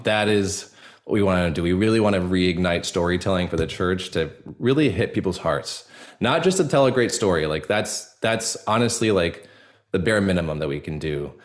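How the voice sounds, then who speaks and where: A man speaking as a guest in a casual interview, earnest and halting, often repeating words and leaning on fillers. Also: low-pitched. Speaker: podcast guest, actor and storyteller.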